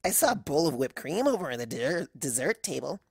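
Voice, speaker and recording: A man's voice: a little high, sing-song, fairly quick, gravelly, a little mellow, slightly rough, no echo, studio quality, heavily acted. Man as breezy, lilting female pegasus pony. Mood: playful, flirty. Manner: casual, playful. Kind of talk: storybook character dialogue.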